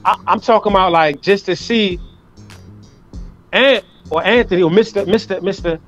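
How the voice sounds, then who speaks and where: A man's voice: high voice, moderate pace, fairly bright tone, highs cut off, soft background music. A man hosting an online interview show over a video call, talking loosely with jokes and swearing. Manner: loose, joking, profane banter. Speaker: host. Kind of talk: casual interview chat. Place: room at home indoors. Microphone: webcam on a video call.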